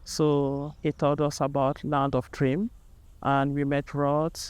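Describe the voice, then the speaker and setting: A woman from Cameroon speaking in an unhurried way in a documentary interview, with "like" fillers. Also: sits low, little pitch movement, darkish tone, clean tone, dry recording.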